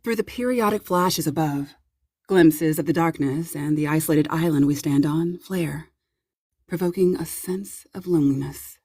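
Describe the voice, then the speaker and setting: A woman giving a dramatic first-person audiobook narration in a hushed, measured, grave manner with a husky, breathy voice. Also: low voice, no room sound, studio quality.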